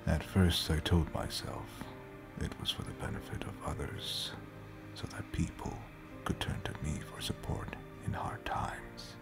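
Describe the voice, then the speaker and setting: A man acting a cold, condescending space pirate captain and father in an audio drama, with a gravelly voice that drops into breathy whispers. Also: very deep voice, exaggerated voice. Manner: slow, measured, detached, menacing. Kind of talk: scripted audio roleplay drama.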